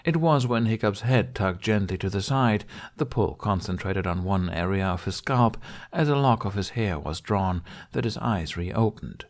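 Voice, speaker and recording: A man's voice: lowish voice, lively intonation, normal speed. A man reading fantasy fiction aloud as a scripted audiobook narration.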